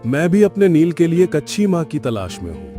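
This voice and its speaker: A man's voice: on the high side, sing-song, fairly quick, warm tone, faint music behind. A man playing a kind, courteous father billy goat in a cartoon story, speaking politely and earnestly. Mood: tender, hopeful. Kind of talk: Hindi cartoon story acting.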